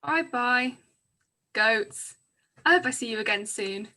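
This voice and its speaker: A woman's voice: fairly high pitch, animated, takes it slowly, pushed and bright, heavily acted. A woman playing a timid, innocent little peasant girl in an amateur myth play reading. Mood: sweet.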